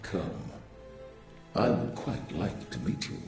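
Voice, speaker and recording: An actor playing a taunting otherworldly game villain, speaking in a slow, menacing, mocking way. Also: male voice, low voice, sing-song, darkish tone, exaggerated voice. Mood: mocking menace. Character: taunting otherworldly monster in a male guise. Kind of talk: video game villain acting. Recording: echoey game audio with music.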